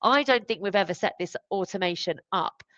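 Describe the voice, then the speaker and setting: A woman with a medium-pitched voice explains practical points in a live business discussion. She is conversational and emphatic, like a consultant advising clients. The sound is dry and slightly dull, as on a compressed webcast. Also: animated, a little fast, a bit bright, dry recording. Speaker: recruitment automation consultant. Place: online live stream.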